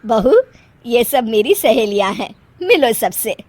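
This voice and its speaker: Older mother-in-law played by a woman, high and lively, emphatic, easily exasperated, comic story piece. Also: a little slow, no room sound. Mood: brisk. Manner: emphatic, loud, scolding or calling out. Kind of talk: comic Hindi moral-story dramatization.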